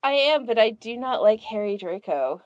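A woman's voice: fairly high pitch, animated, moderate pace, lo-fi sound, very noisy. A woman co-hosting a casual podcast, joking at her own expense. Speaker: podcast host.

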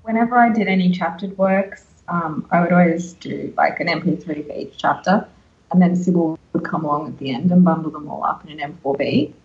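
A woman's voice: fairly low pitch, fairly quick. A woman co-hosting a casual podcast discussion, heard in slightly lo-fi sound. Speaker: podcast co-host. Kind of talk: casual panel chat.

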